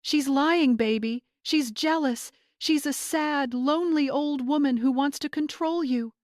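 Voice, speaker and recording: A scheming young bride from a melodramatic story, acted by a woman. Her voice jumps high and forceful. She is spiteful and defiant, recorded dry. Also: a little slow, no room sound. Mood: shrill, accusing.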